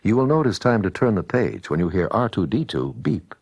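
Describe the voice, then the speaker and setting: A man's voice: fairly low pitch, sing-song, brisk, creaky, darkish tone, husky, no room sound. A man narrating a scripted children's read-along story.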